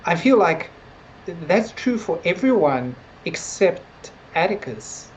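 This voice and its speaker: A woman's voice: lowish voice, sing-song, unhurried, darkish tone, lo-fi sound, slight background noise. A woman co-hosting a casual TV review discussion, speaking in a hedging, conversational way with many filler words. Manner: hedging, conversational, frequent "like" and "sort of". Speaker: podcast co-host discussing a TV series.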